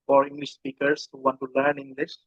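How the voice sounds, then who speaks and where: A man's voice: mid-range voice, monotone, a little fast, slightly rough, no echo, highs cut off. A man from Bangladesh with a Bangladeshi accent, a native Bengali speaker, talking casually as an interview guest and often repeating himself hesitantly.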